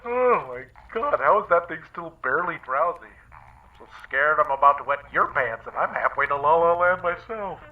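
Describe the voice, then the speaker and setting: A man's voice: on the high side, animated, moderate pace, phone-quality sound, close to an everyday voice. A man playing an anxious, wry spaceship crew member in a sci-fi comedy audio drama. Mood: nervous.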